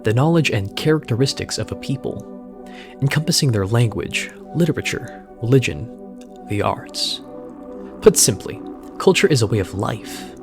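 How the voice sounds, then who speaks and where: A young man delivers a scripted speech in a relaxed, joking manner. His voice sits at a middle pitch for a man. He drops slangy asides and mock-dramatic flourishes into the prepared lines, and faint music plays underneath. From United States. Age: young adult. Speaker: student giving a class speech. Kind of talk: recorded speech, scripted but jokey.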